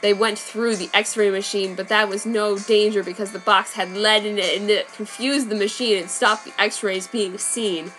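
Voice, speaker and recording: A woman's voice: mid-range voice, steady tone, moderate pace, few pauses, faint music behind, low-quality recording. Mock-serious woman reading fan fiction. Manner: mock-serious with sudden loud outbursts. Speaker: reader.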